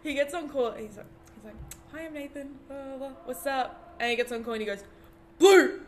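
A woman chatting as a podcast guest, telling anecdotes in an animated way and acting out what people said. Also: high-pitched, brisk. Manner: animated storyteller who acts out quotes. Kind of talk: casual chat, telling anecdotes.

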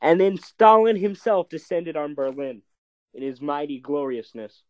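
Young male podcast co-host, slightly high voice, crude teasing banter, dry close sound. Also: fairly even intonation, normal speed, a little mellow, no echo, low-quality recording.

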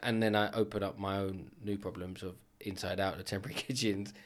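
A man in his thirties talks as a podcast guest. He is chatty and animated, racing through stories with asides. His voice sits a little low. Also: brisk. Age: 30s. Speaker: business owner guest. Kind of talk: casual podcast chat.

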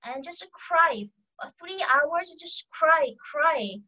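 A young woman with a Korean accent, speaking English as a second language, talking as a guest in an online conversation and often pausing to search for words. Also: high-pitched, lively intonation, bright, forceful tone, poor audio. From North Korea. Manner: halting, searching for English words, many pauses. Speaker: guest, defector.

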